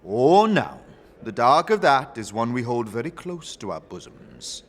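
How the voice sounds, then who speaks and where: A man's voice: mid-range voice, big pitch swings, measured pace, big-room sound. A man voicing a sturdy, jovial older faction leader in a fantasy audio drama, with grand, expansive, theatrical phrasing. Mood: genial, boastful.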